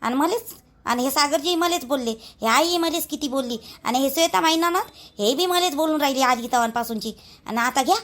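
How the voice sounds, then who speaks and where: A woman playing a sharp-tongued relative who taunts and accuses. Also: sits high, normal speed. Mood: taunting. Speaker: actor in a family drama skit.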